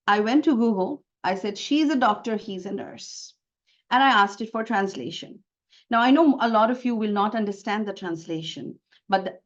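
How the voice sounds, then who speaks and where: A woman with a Bangladeshi accent walks viewers through slides in a webinar, earnest and explanatory, stressing her points by repeating words. Her voice sits at a middle pitch. The sound is a little dull, like audio from an online call. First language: Bengali. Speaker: webinar speaker.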